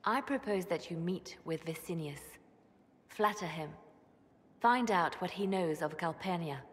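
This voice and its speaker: An actress playing a shrewd, composed female spymaster in a video game, measured and quietly resolute. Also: fairly low pitch, close to an everyday voice. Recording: long reverb of a stone hall.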